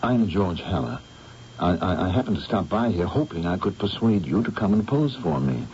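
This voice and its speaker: An actor playing a firm, take-charge English painter in a 1970s radio drama. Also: male voice, low-pitched, big pitch swings, moderate pace, a little mellow. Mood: stern. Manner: firm, takes-charge, urgent. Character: commanding English gentleman painter. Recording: dull old radio broadcast, faint hiss.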